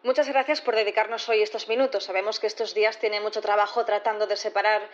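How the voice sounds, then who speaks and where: An actress in an audio drama playing a composed prosecutor who questions formally, politely and methodically. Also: female voice, mid-range voice, fairly even intonation, fast talker, lo-fi sound, close to an everyday voice. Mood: polite, probing.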